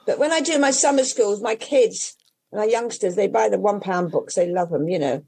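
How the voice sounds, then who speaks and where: An older woman from England talks casually about her bookshop, her mellow, warm voice wandering through half-finished phrases and asides. She restarts often and drifts from one point to the next. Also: medium pitch, moderate pace, creaky, slightly rough. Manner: rambling, with many restarts and trailing asides. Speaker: independent bookshop owner. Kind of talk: casual interview chat.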